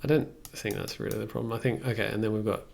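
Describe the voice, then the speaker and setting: A man's voice: lowish voice, steady tone, quick pace, creaky, very noisy. A young man chatting casually through a tutorial on a livestream, thinking aloud in a tentative way as he works. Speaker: music production livestreamer. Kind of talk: casual tutorial chat while working. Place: indoors at a computer desk.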